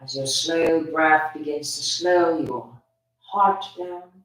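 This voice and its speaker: Meditation instructor, soothing and unhurried, mid-pitched voice, heard from a distance in a reverberant space. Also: fairly even intonation. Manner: soothing, gentle, drawn-out phrasing with pauses. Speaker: meditation teacher. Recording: very echoey room, distant from mic.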